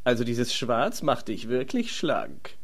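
A man's voice: mid-range voice, big pitch swings, slight background noise. Man playing a camp, flirtatious elf priest. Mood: coquettish. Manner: camp, coquettish, drawn-out. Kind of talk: comedy fantasy audio drama.

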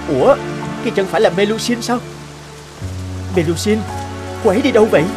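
A man's voice: high voice, fairly quick, lightly acted. An actor playing an earnest, anxious young fisherman in a fairy-tale cartoon, speaking with dramatic emotion. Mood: puzzled. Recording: music and rain behind the voice.

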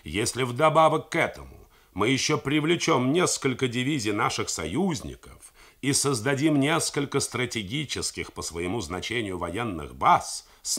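A middle-aged man reading a novel aloud in a measured, theatrical 1980s radio studio style. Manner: measured, expressive theatrical delivery with wide pitch swings.

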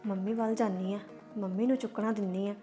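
A woman's voice: medium pitch, steady tone, brisk, dark tone, soft background music, natural voice. Woman as young sister-in-law, Punjabi accent, placating, earnest. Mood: scheming. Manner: pleading, placating. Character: young sister-in-law caught in a family feud.

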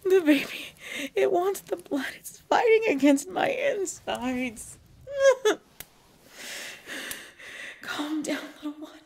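A woman playing a proud, pregnant vampire leader in pain, speaking formally and dramatically in an ASMR roleplay with breathy drops toward a whisper. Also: high voice, animated, darkish tone, exaggerated voice. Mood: pained, desperate, then pleading, weary. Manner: slow, formal, dramatic, often dropping to whispers.

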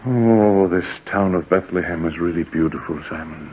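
An actor playing a wry, probing, measured Roman soldier in 1940s radio drama style. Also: male voice, sits low, husky. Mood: wry amusement. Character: urbane, amused, probing male Roman soldier. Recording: dull 1940s radio recording.

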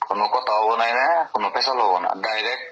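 A man's voice: fairly low pitch, fairly even intonation, racing, pushed and bright, slight background noise. A man talking informally on a recorded phone call, in a domineering, insistent way, often repeating himself. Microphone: telephone.

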